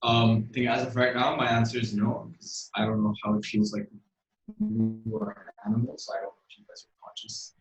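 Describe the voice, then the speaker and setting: A young man thinks aloud in a casual podcast, rambling in quick bursts. He sounds roomy and set back from the mic. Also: mid-range voice, lively intonation, vocal fry, lo-fi sound, faint music behind. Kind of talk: casual discussion on video call. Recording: echoey, distant mic.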